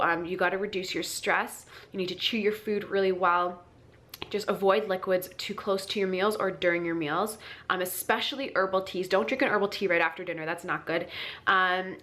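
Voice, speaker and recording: A woman's voice: on the low side, fairly even intonation, moderate pace, fairly bright tone. A woman with a stuffy, congested voice from a cold, giving chatty wellness advice to her channel with lots of ums and restarts. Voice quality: nasal, congested. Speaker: wellness vlogger. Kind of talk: casual advice to camera.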